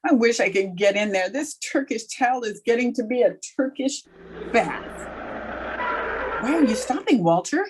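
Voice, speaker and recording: An actress playing a wry, wisecracking high school teacher in an old-time radio comedy, with dry, sardonic delivery. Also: female voice, sing-song, unhurried, a little hiss or hum, poor audio. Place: remote recording over video call.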